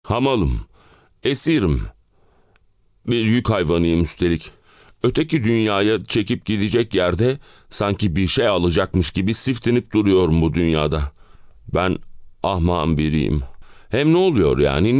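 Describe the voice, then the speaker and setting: A man's voice: low-pitched, very animated, measured pace, pauses often, a little mellow, no room sound, studio quality. An actor playing an exhausted middle-aged family man and clerk, complaining theatrically in an audio play. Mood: despairing. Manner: dramatic, complaining, rhetorical questions. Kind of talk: theatrical audio drama monologue.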